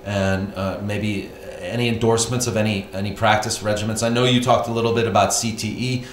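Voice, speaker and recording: A man's voice: fairly low pitch, steady tone, slight background noise. A male interviewer asking casual questions, hesitating often with "uh" and restarting his sentences. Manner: hesitant, many "uh" pauses and restarts. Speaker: interviewer, host of the channel. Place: room in a private house. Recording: roomy echo, faint room ambience.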